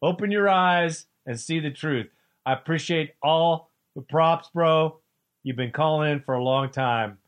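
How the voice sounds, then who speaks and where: Host: man, fairly high voice, excitable fan talk, preachy streak. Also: unhurried, highs cut off. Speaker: radio show host. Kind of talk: sports talk radio.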